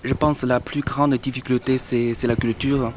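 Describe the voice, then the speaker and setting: A young woman from China, a student speaking in a halting way. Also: a bass voice, fairly even intonation, darkish tone, a little hiss or hum. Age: young adult. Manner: hesitant, halting. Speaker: international student.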